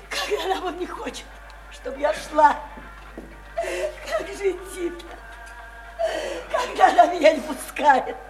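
A woman's voice: very high-pitched, exaggerated voice. An actress playing a distraught, feverishly eager young provincial woman in a Russian radio play, with an emotional, pleading delivery. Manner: emotional, pleading, swinging from chatter to wailing. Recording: roomy radio play recording, faint music.

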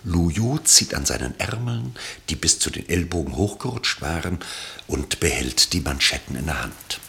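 A man narrating a radio play from a literary script in a measured, dryly ironic way. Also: rumbling low, animated, creaky, pushed and bright.

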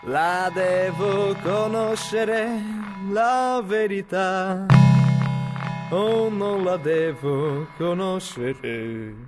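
A man playing a troubled twenty-year-old searching for his lost father in an audio drama, halting and questioning in slow monologue. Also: sits high. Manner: halting, questioning, drawn-out phrases. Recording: voice over prominent music.

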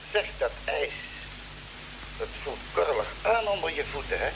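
A modest astronaut in a space radio serial. His slightly high male voice is conversational and unhurried. The old recording carries clear hum and hiss. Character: modest, plain-spoken male astronaut on Mars. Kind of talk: radio drama dialogue.

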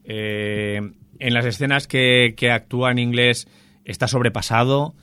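A man's voice: medium pitch, steady tone, a little slow, pushed and bright, clear, resonant tone, no room sound. A man chatting casually about a film he enjoyed, talking with enthusiasm and frequent restarts. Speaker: film reviewer on a radio show. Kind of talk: casual chat.

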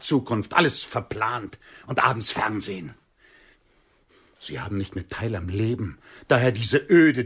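Bitter, husky-voiced homeless man. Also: mid-range voice, fairly bright tone. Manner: theatrical, blunt, insulting. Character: bitter, cutting homeless man on a blind date. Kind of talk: radio play acting.

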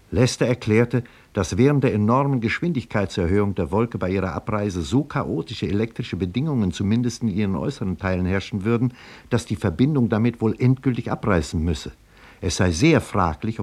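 A man with a husky voice narrating a science fiction tale soberly in a 1950s German radio drama. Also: on the low side, animated, normal speed, darkish tone. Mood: sober, grave.

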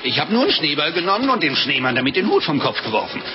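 An actor playing a pious, sly little monk in a radio play, unctuous, mock-innocent and pleased with himself. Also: male voice, on the high side, very animated, fast. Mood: smug innocence. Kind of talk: German radio play acting. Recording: dull radio recording with loud music.

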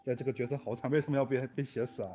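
A man's voice: mid-range voice, fairly even intonation, very fast. A man talking casually and reflectively as a composer in an interview, earnest, with somewhat hesitant phrasing. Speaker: music composer for audio dramas. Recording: dull lo-fi audio with music behind. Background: music.

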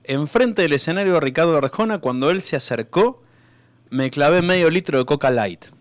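A man's voice: a little high, expressive, normal speed, dry recording, close to an everyday voice. A man playing an eager, rambling martyred man in a comedic radio sketch, hesitant and stammering as he claims his reward. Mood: eager, explaining.

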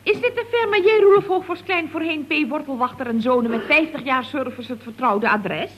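A woman's voice: high-pitched, fairly quick, a little hiss or hum, lightly acted. A woman playing a chatty, scatterbrained office worker in 1970s radio comedy, rambling along with giggly, naive earnestness. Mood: chatty, puzzled. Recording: dull, lo-fi old radio recording.

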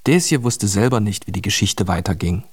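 Man, narrator, scripted children's radio drama, fairly low pitch. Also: expressive, normal speed, slightly rough.

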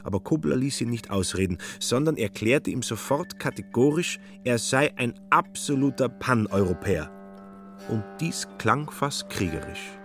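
Male narrator, average pitch, scripted literary radio drama, storytelling, soft music underneath. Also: animated, moderate pace.

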